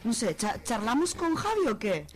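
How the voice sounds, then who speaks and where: A woman's voice: a little high, big pitch swings, normal speed. A woman playing a slangy, practical young student in an amateur radio soap, with a Spanish accent. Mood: reassuring, worried. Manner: colloquial, slangy. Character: chatty young university student woman, practical loyal friend.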